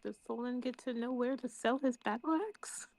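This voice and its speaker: A woman's voice: on the high side, flat delivery, moderate pace, darkish tone, no echo. A woman chatting casually as a player in a tabletop game. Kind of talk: casual chat.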